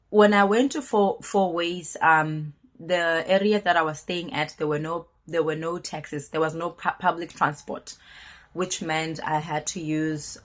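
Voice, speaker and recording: A woman in her late twenties, husky from a cold, telling a candid personal story on her vlog in a chatty, hesitant way. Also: low voice. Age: late 20s. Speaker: vlogger.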